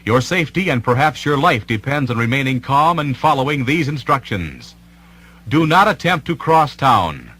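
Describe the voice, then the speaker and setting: Bright, formal male civil defense announcer. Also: mid-range voice, big pitch swings, husky. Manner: formal, deliberate, authoritative. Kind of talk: 1950s-60s emergency radio announcement.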